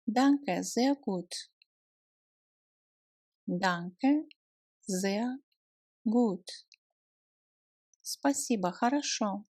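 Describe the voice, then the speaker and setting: Woman doing language lesson phrases, super slow, word by word, mid pitch, dry sound. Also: darkish tone, no echo. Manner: deliberate, clearly separated words for learners. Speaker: language course narrator.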